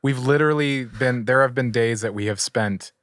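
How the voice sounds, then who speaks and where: Man, thirties, podcast co-host; halting, filler-heavy talker, dry close mic. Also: mid-range voice, a bit bright, no room sound. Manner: rambling, halting with many fillers. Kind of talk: casual chat. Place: studio room with table and mics.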